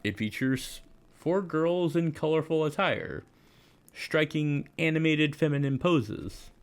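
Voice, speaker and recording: A man playing an awkward, mild high school boy, narrating in first person with a hesitant, understated delivery. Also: animated, takes it slowly, soft background music. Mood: dry, understated. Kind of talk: first-person visual novel narration and dialogue.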